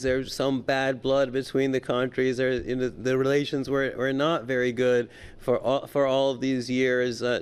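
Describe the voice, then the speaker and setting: A man's voice: mid-range voice, clear, resonant tone. A man giving unscripted political commentary as an interview guest, stammering and often repeating his words as he goes.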